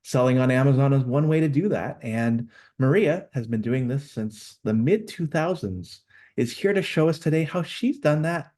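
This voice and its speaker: A man hosts a webinar, opening it with an upbeat, promotional introduction. His voice is mellow and warm, at a middle pitch. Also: animated, normal speed. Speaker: host.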